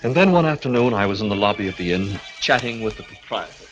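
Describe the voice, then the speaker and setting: An actor playing a guilt-haunted man in a 1940s radio thriller, melodramatic and anguished, moving between hushed narration and frantic outbursts. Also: lowish voice, lively intonation, fast, muffled recording, close to an everyday voice. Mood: subdued, haunted. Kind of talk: 1940s radio suspense acting, first-person narration. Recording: old 1940s radio broadcast, music behind.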